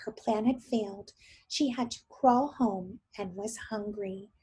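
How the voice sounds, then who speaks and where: A woman teacher reading a story aloud to young children, expressive and often thinking aloud with questions for her listeners. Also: mid-range voice, a little slow, slightly rough.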